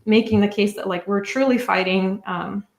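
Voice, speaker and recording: A woman's voice: medium pitch, normal speed, gravelly, reverberant. A woman interpreting in a discussion, carefully and hesitantly piecing the points together.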